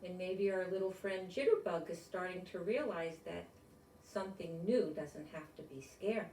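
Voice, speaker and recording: An older woman reading a picture book aloud with chatty asides, explaining gently and simply as if to children. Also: on the low side, normal speed, a little hiss or hum. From United States. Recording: roomy echo, distant microphone.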